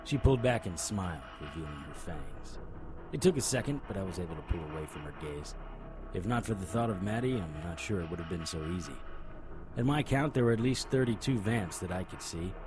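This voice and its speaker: An actor playing a brooding, wry investigator who narrates a noir-style audio drama in a dry, hard-boiled manner. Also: male voice, deep voice, animated, brisk, poor audio. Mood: grim, wry. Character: brooding, wry, stubborn male supernatural investigator. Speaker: narrator and lead character.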